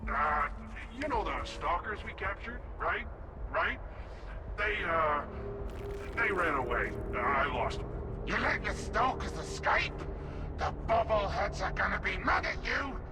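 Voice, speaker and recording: A man's voice: high-pitched, very animated, a little slow, very noisy. A man voicing a crude, bickering ghoul henchman in a video game. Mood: nervous, scolding. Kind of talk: video game character acting.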